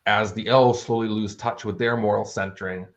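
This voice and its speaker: A man's voice: fairly low pitch, steady tone, moderate pace. A man speaking as an author being interviewed, explaining his fantasy worldbuilding in an articulate, bookish, conversational way.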